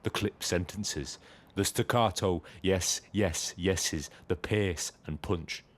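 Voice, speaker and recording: A lonely British cab driver narrates in a very low voice. He is measured and observant, with a brooding air suited to scripted audio drama. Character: lonely, watchful male Brighton taxi driver. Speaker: narrator and lead character.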